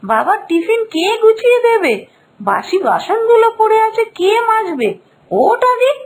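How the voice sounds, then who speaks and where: A woman's voice: very high-pitched, very animated, a little slow, heavily acted. A woman voicing a cruel, harsh, sarcastic mother who scolds and threatens in a cartoon fairy tale. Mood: angry scolding. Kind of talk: animated fairy-tale voice acting.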